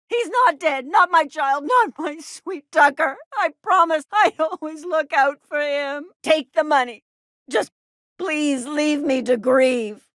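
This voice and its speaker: A woman's voice: high-pitched, fairly bright tone, dry recording, clean studio sound. An actress playing a doting, anxious middle-aged frontier mother in a video game, with a melodramatic, folksy manner. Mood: grieving. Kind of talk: video game character dialogue.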